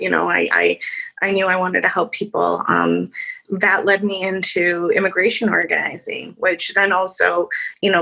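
A woman from Chicago speaks as a lawyer on a panel, her voice gravelly and a little husky. She talks haltingly, circling back with fillers and restarts. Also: fairly low pitch, sharp tone, poor audio. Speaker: attorney on a panel. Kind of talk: panel discussion answer.

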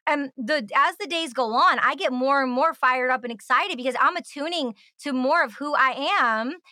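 A woman's voice: sits high, normal speed, pushed and bright, no room sound, studio quality. An American woman hosting a casual interview with gushing enthusiasm, often saying "like". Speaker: interviewer, host.

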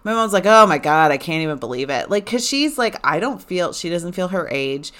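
A woman in her forties chatting casually to her listeners, animated and rambling with lots of fillers. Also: lowish voice, brisk. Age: 40s. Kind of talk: casual personal chat.

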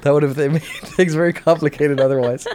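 A man's voice: on the high side, fairly even intonation, fast talker, a little mellow. A man hosting a casual chat, joking and rambling with frequent restarts. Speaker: host. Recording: faint background noise.

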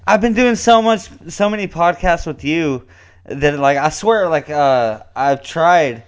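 A man's voice: a little high, expressive, unhurried, smooth, lo-fi sound. A man co-hosting a podcast in casual banter, rambling, laughing often and swearing freely. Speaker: podcast co-host.

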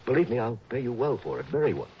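A man's voice: mid-range voice, big pitch swings, fast, lightly acted. An actor playing a worried, stammering wealthy man of about fifty in a 1960s radio drama. He speaks nervously and pleads. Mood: anxious. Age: 50s. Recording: dull old radio broadcast with faint hiss.

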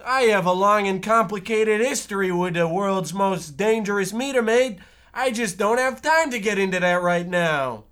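A man's voice: high voice, expressive, normal speed, a bit bright, smooth. A man playing a naive, pampered young boy sidekick, earnest and wholesome, in a comic radio play. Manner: gee-golly wholesome, earnest. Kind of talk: comic parody radio drama.

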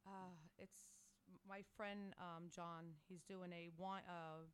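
A woman's voice: lowish voice, flat delivery, takes it slowly, clean tone. A woman in her fifties from New York, a guest on a casual podcast chat, easygoing and agreeable. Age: 50s. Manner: easygoing, agreeable, laughs readily.

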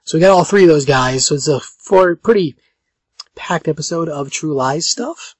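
A man with a raspy voice hosting a podcast in casual chat, halting and often correcting himself. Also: fairly high pitch, no room sound. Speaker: podcast host.

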